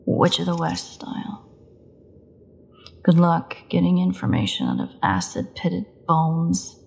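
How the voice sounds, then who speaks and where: A woman playing a defiant captive vampire in an audio drama, snarky, mocking and bitterly flippant, with a gravelly voice. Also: lowish voice, wildly swooping pitch, slow, darkish tone, exaggerated voice. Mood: defiant sarcasm. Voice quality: gravelly, creaky voice. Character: defiant, sarcastic captive female vampire under interrogation. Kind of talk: dramatic audio roleplay monologue.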